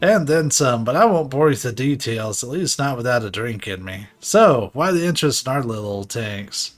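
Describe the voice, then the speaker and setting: A man's voice: medium pitch, animated, normal speed, faint music behind, lightly acted. A man voicing a chummy, coarse-tongued workshop tinkerer from a fantasy game in a breezy, informal way. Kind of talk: game dialogue read aloud in character.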